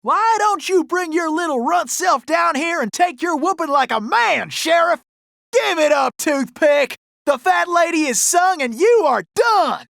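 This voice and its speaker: Casting: young gunslinger outlaw for a video game. Very high, animated voice, Old West Southern drawl, boastful and gallant. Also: male voice, measured pace, creaky, no room sound, studio quality, exaggerated voice. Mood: cocky, taunting. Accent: American Old West Southern drawl. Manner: folksy, exclamatory, boastful cowboy bravado.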